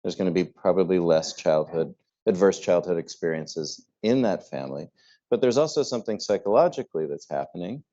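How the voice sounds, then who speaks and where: A man's voice: deep voice, warm tone, muffled recording. A man moderating a panel discussion, speaking thoughtfully with small stammered restarts.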